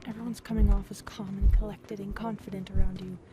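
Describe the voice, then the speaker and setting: Noisy ambience surrounds a hesitant alien woman as a woman acts it out. The voice is mid-pitched and casual, a little awkward, confiding in the listener as in an intimate audio drama. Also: little pitch movement, quick pace, close to an everyday voice. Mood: candid, confiding. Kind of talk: scripted audio roleplay drama.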